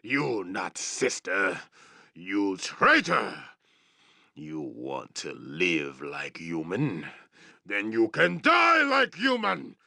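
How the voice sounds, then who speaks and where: An actor playing a savage, proud orc chieftain in a fantasy video game, growling threats in blunt, broken English. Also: male voice, sits low, big pitch swings, extremely slow, sharp tone, no room sound, studio quality, heavily acted. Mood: accusing, menacing. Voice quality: gravelly growl. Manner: broken, blunt English with barked war cries.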